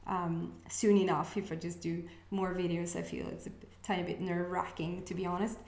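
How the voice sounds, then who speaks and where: A Swedish-accented woman, Swedish by birth, gives a relaxed intuitive reading for her channel. Her speech is hesitant and musing, with pauses as she tunes in. The room around her is echoey. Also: lowish voice, creaky. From Sweden. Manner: hesitant, musing, pausing to sense messages. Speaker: astrology reader, channel host. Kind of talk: casual intuitive reading to camera.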